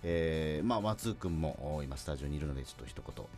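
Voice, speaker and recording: Deep, animated male radio host. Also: fast talker. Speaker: radio show host, actor. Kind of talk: scripted radio hosting with casual chat. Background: background music.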